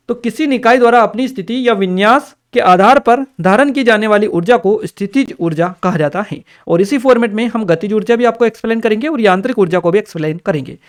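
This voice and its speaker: A man explains exam questions as a coaching teacher, repeating key terms and reminders in an instructional way. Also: sits high. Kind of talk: explanatory exam-paper lecture to camera or screen.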